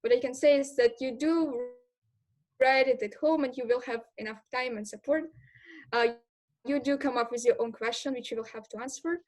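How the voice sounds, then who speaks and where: A young woman student explaining exam assignments to future students in a steady, methodical way. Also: high voice, normal speed. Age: young adult. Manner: steady, methodical, with occasional fillers. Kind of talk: informal explainer talk to prospective students.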